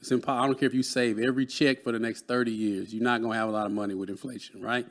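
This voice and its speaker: Man in his thirties from texas, earnest mentor type, mid-pitched, conversational. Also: flat delivery, very fast. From Dallas, Texas. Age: 30s. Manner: earnest, motivational, peppered with "man". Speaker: guest, mentor and author. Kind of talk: conversational interview.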